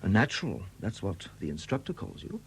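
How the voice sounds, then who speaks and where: Shrewd police detective, animated delivery. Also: male voice, on the low side, slight background noise. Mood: accusing, probing. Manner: probing, deliberate, courteous but insistent questioning. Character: shrewd, relentless male police detective superintendent. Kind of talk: 1970s British radio drama acting. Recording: dated 1970s radio recording.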